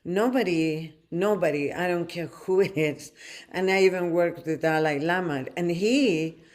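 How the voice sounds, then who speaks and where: A woman from Chile, co-hosting a casual podcast as a life coach, reflective with soft laughs. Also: on the low side, moderate pace.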